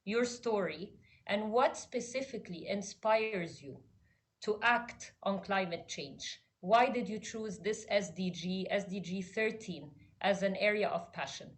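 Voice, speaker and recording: A woman's voice: medium pitch, measured pace. A woman moderating a panel discussion, polite and hesitant with frequent fillers.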